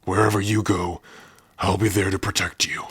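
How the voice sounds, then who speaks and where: A man voicing a big, gruff but tender orc warrior in an ASMR roleplay, shy and devoted, speaking haltingly and intimately close to the mic. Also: little pitch movement, no room sound, full character voice. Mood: devoted, grateful. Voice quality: husky, breathy low voice. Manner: slow, halting, softly intimate. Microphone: close dry microphone.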